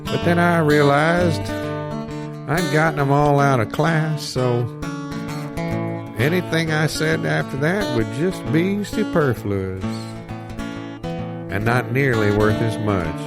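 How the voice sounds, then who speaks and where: Man playing a folksy storyteller over guitar music. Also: a little high. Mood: wry, wistful. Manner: folksy, wry, unhurried storytelling. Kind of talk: improvised comic monologue. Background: plucked guitar music.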